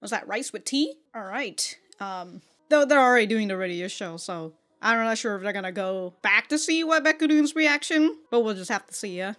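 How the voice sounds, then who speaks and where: A woman's voice: mid-range voice, normal speed, few pauses, fairly bright tone. A woman giving casual, slangy reaction commentary and swearing freely as the host. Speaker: host of an anime reaction show.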